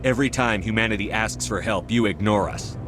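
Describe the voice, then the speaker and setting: A resolute soldier in command, voiced by a man for a video game. The voice is fairly low, serious and direct, without ornament. Music sits clearly behind the dialogue. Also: fairly quick, close to an everyday voice. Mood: urgent, firm.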